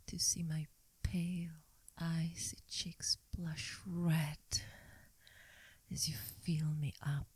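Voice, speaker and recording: Woman acting as seductive female vampire, dominant and teasing, very slow breathy whisper, very low pitch, ASMR hypnosis roleplay. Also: dark tone, no echo, exaggerated voice. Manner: slow, teasing, coaxing, commanding. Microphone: close microphone in a dry space.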